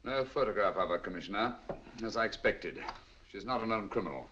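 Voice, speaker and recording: An actor playing a calm, shrewd male detective in a 1940s film, with a cool, measured delivery. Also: fairly low pitch. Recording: dull old film soundtrack with hiss.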